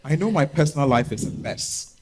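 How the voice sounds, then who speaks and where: A man with a Ghanaian accent playing a smitten, pleading lover with halting, earnest phrasing in a stage reading. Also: fairly high pitch, lively intonation, a little mellow, slight background noise, close to an everyday voice. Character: smitten, pleading male lover and deal-maker. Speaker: stage actor. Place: live staged reading at a festival.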